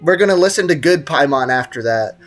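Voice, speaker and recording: Young streamer, medium pitch, casual rambling chat, joking and mock-indignant, faint game music. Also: lively intonation, fairly quick. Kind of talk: casual livestream game commentary, chatting with viewers.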